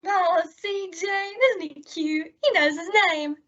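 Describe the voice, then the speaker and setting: A woman's voice: extremely high pitch, fairly even intonation, a little slow, fairly bright tone. A woman playing a sweet, scatterbrained, naive young roommate in old-time radio comedy, earnest and guileless. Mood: naively hopeful. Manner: guileless, earnest, dim-witted delivery. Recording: dull lo-fi radio-style recording.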